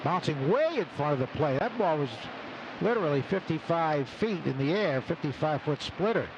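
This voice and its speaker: A man doing live radio baseball play-by-play, calling the action in clipped phrases between pauses. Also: a little high, expressive, highs cut off. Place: open broadcast booth at outdoor ballpark. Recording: noisy outdoor ballpark broadcast. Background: loud stadium ambience.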